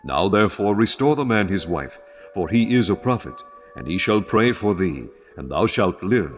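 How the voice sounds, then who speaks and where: A man's voice: fairly low pitch, big pitch swings, moderate pace, long reverb tail. A man voicing a stern, commanding God who warns with solemn authority in a dramatized scripture audiobook. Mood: stern, warning.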